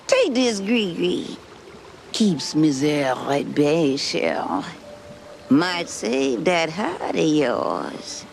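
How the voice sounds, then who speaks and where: An actress playing a sly, superstitious swamp fortune-teller with a Louisiana Cajun accent, ominous and teasing, in a cartoon game. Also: female voice, fairly low pitch, very animated, drawn-out words, a little hiss or hum, exaggerated voice. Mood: cryptic, kindly. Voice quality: croaky, gravelly.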